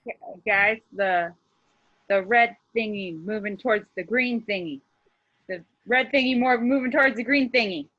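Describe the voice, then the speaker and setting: A woman's voice: on the high side, moderate pace, no echo, lightly acted. A woman acting a nervous, clueless starship crew member in a script read, on dull lo-fi audio. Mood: anxious. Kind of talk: screenplay table read acting. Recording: dull lo-fi remote call audio.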